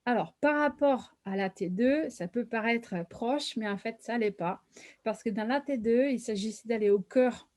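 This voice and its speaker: Italian-accented woman, mellow and dry. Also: a little high, no echo. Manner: measured, theoretical, frequent hesitations. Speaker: webinar co-host and facilitator. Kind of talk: explanatory webinar presentation.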